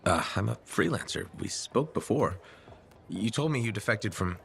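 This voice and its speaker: An actor voicing a supportive video game freelancer hero in a plain-spoken, measured, reassuring way. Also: male voice, fairly low pitch, animated, creaky, a bit bright, a little hiss or hum. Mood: concerned. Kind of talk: video game character dialogue.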